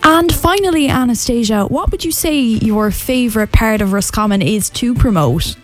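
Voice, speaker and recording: Woman reporter asking stuff, bit higher voice, bouncy, soft music under. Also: moderate pace. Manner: curious, animated questions. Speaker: radio reporter. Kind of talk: radio interview.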